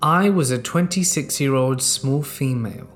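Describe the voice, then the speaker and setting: Male narrator, mid-pitched, steady measured read of true horror stories, soft music underneath. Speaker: narrator reading submitted stories.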